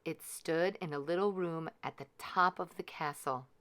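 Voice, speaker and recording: A woman reading a children's storybook aloud in an expressive, measured, dramatic way. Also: sits low, clear, resonant tone.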